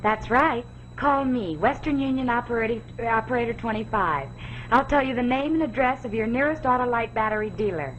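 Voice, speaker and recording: An actress playing a helpful, businesslike telephone operator in a 1940s television commercial. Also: female voice, fairly high pitch, a little fast, natural voice. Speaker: commercial performer. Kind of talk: 1940s scripted commercial acting. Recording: faintly noisy 1940s TV soundtrack.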